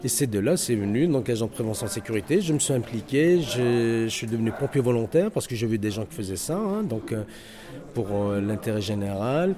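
A man's voice: medium pitch, lively intonation, a little fast. A man with a North African accent, head of a security training center, giving an earnest, motivational interview full of hesitations and restarts. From Algeria. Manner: hesitant, many fillers and restarts. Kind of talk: interview testimony. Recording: noisy crowd around the speaker. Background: crowd chatter.

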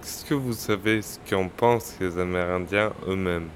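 Male interviewer, low voice, hesitant questions, faint outdoor noise. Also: normal speed. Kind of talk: asking questions.

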